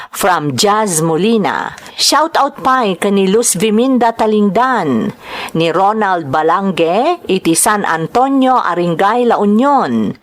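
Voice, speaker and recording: Filipino lady host reading out stuff to listeners, slow pace, pitch moves around a lot. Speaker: host and storyteller of a drama channel. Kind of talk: reading greetings and a listener's letter.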